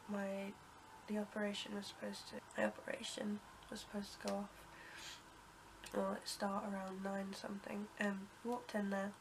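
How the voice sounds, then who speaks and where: Bunged-up young woman, flat voice. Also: mid-range voice, noisy background. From United Kingdom. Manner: rambling, many ums and restarts, coughs and clears throat. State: congested with a cold. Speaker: vlogger. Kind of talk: casual storytelling to camera.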